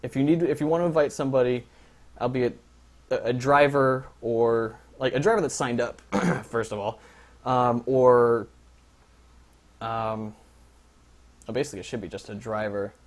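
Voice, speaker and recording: A man's voice: mid-range voice, normal speed, long pauses. Rambling young man running a briefing. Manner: rambling, filler-heavy, joking. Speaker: league organizer and scorekeeper. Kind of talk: casual livestream briefing.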